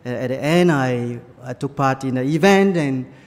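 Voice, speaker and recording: A man from Malaysia whose first language is Chinese, preaching a sermon with personal stories in halting English full of fillers. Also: mid-range voice, in a large hall. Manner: halting, many fillers, non-native English phrasing.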